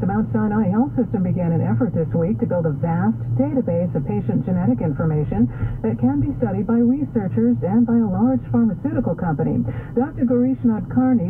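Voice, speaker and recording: A woman anchoring a radio newscast, reading stories and introducing reporters in a crisp, measured way. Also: mid-range voice. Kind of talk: news reading. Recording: tinny phone-like radio with noise.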